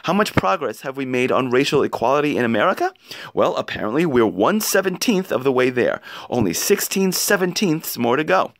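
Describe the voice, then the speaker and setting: A man reading an opinion essay aloud in an expressive, emphatic and rhetorical way. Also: mid-range voice, fairly quick, no room sound. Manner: emphatic, rhetorical delivery with pointed questions. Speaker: narrator reading an article aloud.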